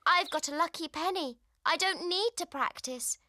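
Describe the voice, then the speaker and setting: A woman voicing an eager, determined young girl mouse who dances ballet, with excitable exclamations, in a storybook read-along. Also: extremely high pitch, moderate pace, no echo, clean studio sound, pitched above the performer's own voice. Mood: excited.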